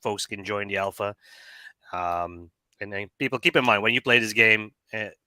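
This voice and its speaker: A man hosting an interview who asks questions and encourages the guest, with a voice he says is going hoarse. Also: fairly low pitch, fairly even intonation, moderate pace, sharp tone, no room sound. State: losing his voice. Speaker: host. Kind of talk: casual interview.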